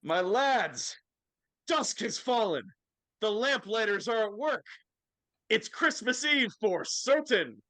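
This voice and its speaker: A man acts out a hearty, declamatory master in a live dramatic reading. The voice sits high, with a bright, forceful edge and a dry sound. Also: animated, slow pace, no echo, full character voice. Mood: festive, hearty. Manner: declamatory, grandly addressing listeners. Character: hearty male headmaster and festive employer, declaiming. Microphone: video call.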